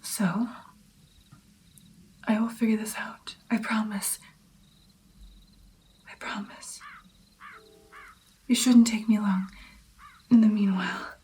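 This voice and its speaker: Woman whispering as a caring vampire mentor, asmr roleplay, really even and unhurried, breathy and intimate. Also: fairly high pitch.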